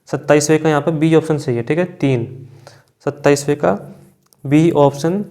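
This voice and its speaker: A man with a slightly high voice works through an exam quiz as a coaching teacher. He reads each question and its options, then states the answer. His delivery is instructional, with frequent repeated confirmations. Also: normal speed, warm tone. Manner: instructional, repetitive confirmations and verbal tags.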